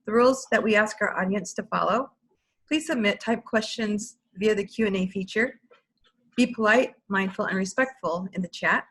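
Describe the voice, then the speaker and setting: A woman's voice: mid-range voice, normal speed, a bit bright, breathy edge, poor audio. A woman hosting an online webinar, reading a formal scripted welcome and ground rules over a video call. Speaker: webinar host and program manager.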